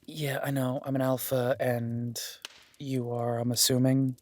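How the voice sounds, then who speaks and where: A man's voice: medium pitch, level tone, unhurried, a little mellow, lightly acted. A man playing an awkward, self-deprecating young werewolf duke in a roleplay audio drama, stammering and apologetic, speaking informally to the listener. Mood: earnest, reassuring.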